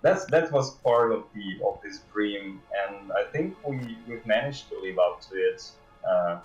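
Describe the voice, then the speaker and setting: Give a man with a fairly low voice who talks haltingly, as a developer in a casual livestream interview. He picks his way through phrases with pauses. The sound is dull, with clear room echo. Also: animated, faint music behind. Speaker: game developer interview guest.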